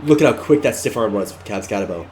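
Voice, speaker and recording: Congested man excitedly narrating his gameplay. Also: fairly low pitch, quick pace. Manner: excitable, shouts at close plays. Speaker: gaming YouTuber. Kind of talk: live gameplay commentary.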